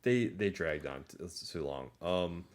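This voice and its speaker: A man with a low voice talks as a podcast co-host. His delivery is casual and conversational, rambling through retellings with animated exaggeration. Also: measured pace. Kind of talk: casual movie discussion chat.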